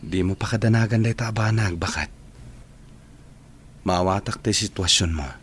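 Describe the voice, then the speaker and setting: A man playing a devoted, worried husband in a radio drama, gentle and earnest. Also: fairly low pitch, moderate pace, a little hiss or hum, close to an everyday voice. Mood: gentle, caring. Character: devoted, worried husband caring for his sick wife. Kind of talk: radio drama acting in Ilocano.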